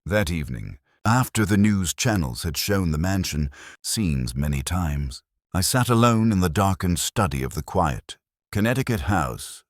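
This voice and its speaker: A man reading a scripted dramatic first-person story as narrator, in a measured, solemn manner. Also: low-pitched, very animated, no echo, clean studio sound.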